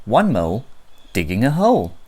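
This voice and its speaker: A narrator reading a children's counting picture book aloud in a deliberate way, with swooping intonation. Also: mid-range voice, wildly swooping pitch, a little mellow, breathy edge.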